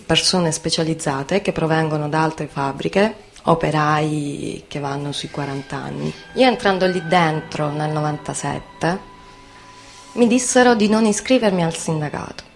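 A frank young steelworker speaks in a fairly low voice. She gives colloquial, insistent testimony in a radio theatre piece, over music. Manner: plain-spoken, colloquial, insistent.

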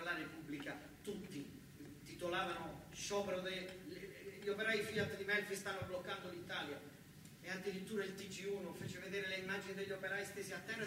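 Male stage monologist, southern Italian accent, colloquial and repetitive storytelling, higher-set voice; heavily echoing, distant recording. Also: noisy background. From southern Italy. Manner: colloquial, repeating phrases for emphasis. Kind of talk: theatrical first-person narrative monologue with acted dialogue.

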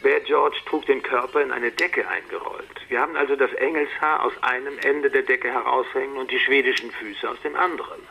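A man playing a matter-of-fact ex-detective in a radio play, with a rough, husky voice. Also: medium pitch, fairly quick, hardly any pauses, pushed and bright, a little hiss or hum, poor audio. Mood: matter-of-fact, intimate. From Netherlands. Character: male Dutch ex-detective reporting by phone, matter-of-fact.

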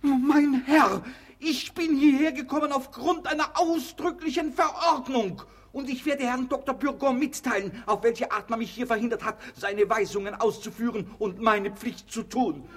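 An actor playing an officious, obsequious apothecary in a German radio comedy, pompous, ceremonious and quick to take offence. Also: male voice, very high-pitched. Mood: outraged, threatening. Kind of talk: German radio play acting of classic comedy.

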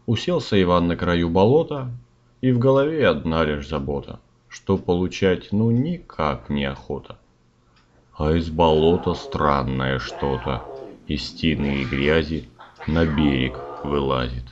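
A man's voice: very deep voice, expressive, a little slow. A man narrating a comic fairy tale in rhyme, with a performed storyteller delivery. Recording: dull sound with music. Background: music.

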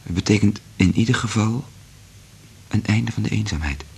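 Deep, husky, brooding male scientist. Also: moderate pace, darkish tone, full character voice. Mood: earnest, pleading. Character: earnest male scientist in love, resolute and brooding. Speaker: radio drama actor. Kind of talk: Dutch radio play acting.

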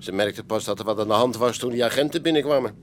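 An actor playing a chatty, unbothered Amsterdam café owner in a radio play, colloquial and knowing, with hesitant asides. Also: male voice, mid-range voice, quick pace, slight background noise, close to an everyday voice. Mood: explaining. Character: male Amsterdam pub landlord, streetwise, chatty, unbothered. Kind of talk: Dutch radio play acting.

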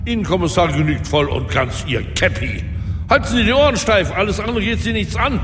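An actor playing an arrogant, powerful crime boss in a radio drama, speaking with haughty, scornful contempt. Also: male voice, high voice, animated, brisk. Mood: contemptuous. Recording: reverberant room with noisy ambience.

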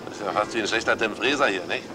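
A low, deep male voice, an actor's, plays an unctuous, jovial gentleman in vintage radio drama. He sounds smoothly welcoming, with faint music in the recording. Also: racing, lightly acted. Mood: chatty. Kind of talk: German radio drama acting.

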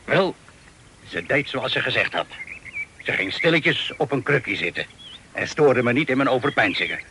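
A man's voice: medium pitch, sing-song, background noise, natural voice. An actor in a Dutch radio drama playing a captive sea captain, a pious, wry and stubborn family man who talks in a folksy way. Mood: wry, reflective. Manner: folksy, wry, unhurried storytelling.

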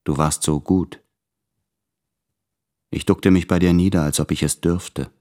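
An actor playing a tormented, tender male letter writer in a literary radio play, anxious and introspective, speaking in measured phrases with deliberate pauses. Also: deep voice, steady tone, warm tone. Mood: confessional, wistful.

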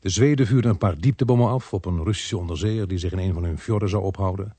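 An actor playing a sober detective who narrates a radio play in a measured, deadpan way. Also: male voice, sits low, slightly rough, dry recording. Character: sober male detective narrating his case.

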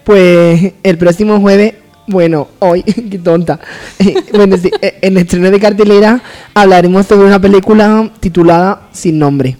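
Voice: mid-range voice, steady tone, a little slow, mellow, faint music behind, close to an everyday voice. A man playing a ditzy, giggly female TV presenter in a parody interview, chattering airily and hesitantly. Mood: giggly, flattered.

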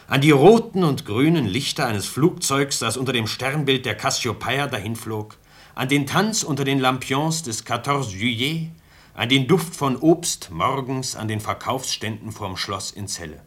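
A man's voice: medium pitch, fairly bright tone. An actor playing a wistful man in a reflective, lyrical radio play monologue of memories.

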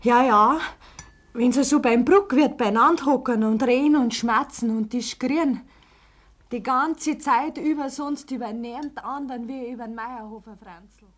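A woman's voice: fairly high pitch, normal speed, natural voice. An actress playing a worried, probing countrywoman in a Bavarian dialect radio play. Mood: suspicious, reproachful. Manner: insistent, reproachful. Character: worried, probing countrywoman confronting her man at table.

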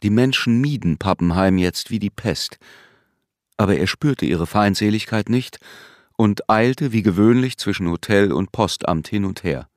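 A man narrating a literary radio drama in a measured, detached storytelling manner. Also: lowish voice, no echo, studio quality, lightly acted. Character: sober male narrator of a literary tale.

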